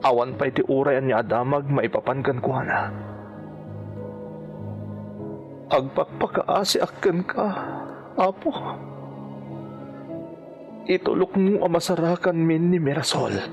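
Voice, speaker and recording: An actor with a Filipino accent playing a grieving husband in an emotional, pleading radio drama performance. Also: male voice, a little high, unhurried, long pauses, muffled recording. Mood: anguished, pleading. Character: anguished, grieving husband of a missing wife. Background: music.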